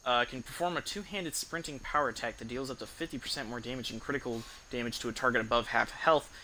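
A young man giving casual gameplay commentary in a rambling, halting way, streaming from an indoor room. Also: mid-range voice, pushed and bright, a little hiss or hum, poor audio. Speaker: game streamer. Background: game music.

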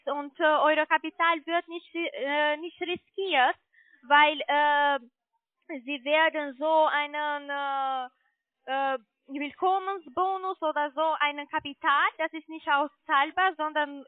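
A high-pitched woman with a foreign accent in her German delivers a sales pitch over a narrowband phone line. She speaks haltingly and searches for words as she goes. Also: no echo. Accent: non-native German. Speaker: call centre sales agent pitching trading. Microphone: telephone.

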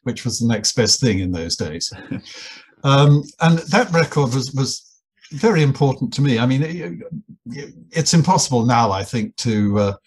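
An older Englishman with a husky, slightly breathy voice at an average pitch. He tells wry, self-deprecating anecdotes in an unhurried interview, often looping back and restarting his phrases. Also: expressive. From England. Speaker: guest, anthropologist and writer. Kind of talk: relaxed storytelling interview.

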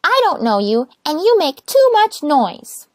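A little grumpy rosebud girl, as a woman reader puts her on for a children's storybook. Her voice is very high and leaps about with indignant emphasis. The sound is close and dry. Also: big pitch swings, a little slow, no echo. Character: small grumpy female rosebud who wants quiet.

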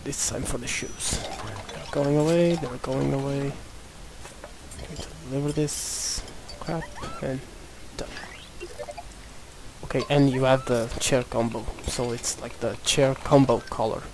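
A man's voice: mid-range voice, steady tone, a little slow, noisy background. A young man from Portugal with a Portuguese accent, casually commenting on a game while he plays, mumbling to himself. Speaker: gameplay commentator.

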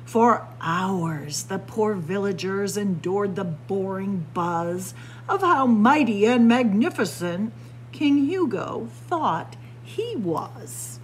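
Female library storyteller for picture-book read-aloud. Expressive swoops, deliberate and unhurried, slight room noise. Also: mid-range voice, poor audio. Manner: theatrical, deliberate storytelling delivery. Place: indoor library room with bookshelves.

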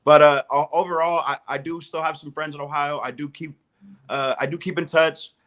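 A man's voice: on the high side, fairly even intonation, fairly quick, fairly bright tone. A man in his thirties from Southern California, a coach chatting casually as a guest on a video call. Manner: upbeat, friendly, repeats words while thinking. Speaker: guest, strength and conditioning coach.

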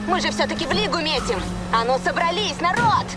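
A woman's voice: high voice, animated, fairly quick. An actress playing a reckless, determined young female street racer in a video game, urgent and bossy. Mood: fired up. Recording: loud music and car engines behind.

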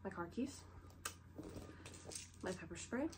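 A woman's voice: medium pitch, expressive, slow pace. A young woman with a stuffy head cold, chatting and rambling casually in her bedroom with lots of "like" fillers. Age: young adult. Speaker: vlogger. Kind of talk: casual show-and-tell chat. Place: bedroom, sitting on the bed. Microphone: camera set low in front of her. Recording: noisy home recording.

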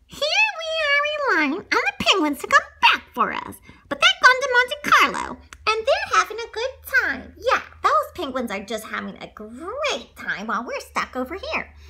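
Woman voicing an excitable, sulky lion, very animated. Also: extremely high pitch, normal speed, background noise, voice pushed up, exaggerated voice. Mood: exasperated. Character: excitable, homesick male cartoon lion, a bit sulky.

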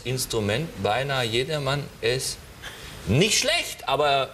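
A middle-aged man hosting a TV show, reading out a dictation slowly and joking with the contestants between sentences. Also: mid-range voice, big pitch swings, sharp tone. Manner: drawn-out dictation, teasing asides. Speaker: talk show host dictating a spelling test. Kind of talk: slow comic dictation with banter. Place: TV studio with a live audience. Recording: studio audience noise.

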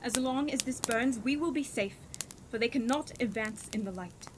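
A woman's voice: fairly high pitch, normal speed, natural voice. A woman playing a grave, fatalistic doctor in a horror radio drama, speaking earnestly and emphatically. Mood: grave warning. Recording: clearly noisy background ambience.

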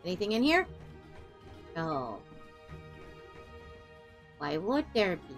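A woman's voice: on the low side, big pitch swings, takes it slowly. A woman streamer giving casual, playful game commentary, silly and exclamatory, often repeating her phrases. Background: game music.

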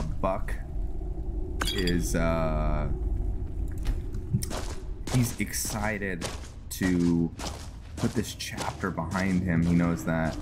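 A man's voice: low-pitched, background noise. A man giving casual game commentary as a host, rambling and thinking aloud over his play. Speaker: host of a gaming roleplay series. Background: background music.

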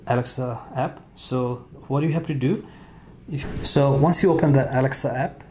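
A man hosting a tech tutorial, talking casually off camera in an indoor room with frequent fillers and pauses. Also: mid-range voice, a little hiss or hum. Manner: relaxed, halting with frequent "uh" fillers. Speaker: tech video host.

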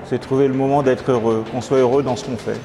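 A middle-aged man speaking calmly and thoughtfully as an interview guest in an indoor hall. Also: mid-range voice, fast talker, a crowd in the background. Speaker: guest, contest sponsor. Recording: music bed under the voice.

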